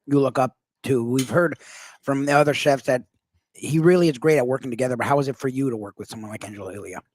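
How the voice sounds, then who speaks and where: A man co-hosts a lunch interview at a middle pitch, asking earnest questions. The sound is dry and close. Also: fast talker, darkish tone, no echo. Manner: earnest, chiming in. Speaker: business advisor, co-host. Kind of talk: casual interview chat.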